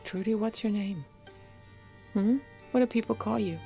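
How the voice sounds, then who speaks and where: A woman playing a poised, commanding First Lady in an audio drama, sharp-tongued, motherly and persuasive. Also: mid-range voice, normal speed, vocal fry, soft background music, close to an everyday voice. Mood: firm, persuasive. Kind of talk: audio drama acting with first-person narration.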